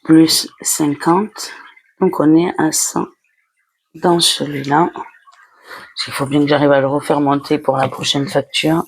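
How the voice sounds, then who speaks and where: A woman casually narrating each step as a budgeting vlogger, talking herself through it in short, halting phrases. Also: sits low, little pitch movement, creaky, husky, rough recording. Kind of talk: casual step-by-step narration while handling cash envelopes.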